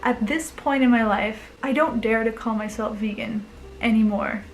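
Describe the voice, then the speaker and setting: A woman's voice: on the high side, fairly even intonation, moderate pace, soft background music. A young woman from Belgium talking earnestly to her camera in a rambling, casual way, full of likes and restarts, with nervous little laughs. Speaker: vlogger. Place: home in Osaka, talking to a camera.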